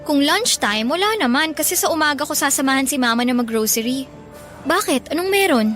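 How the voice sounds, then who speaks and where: An actress playing a cheerful, playful young student in a Filipino radio drama, bubbly and teasing, with a Filipino accent. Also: female voice, high voice, expressive, fairly quick, a bit bright, soft background music. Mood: upbeat.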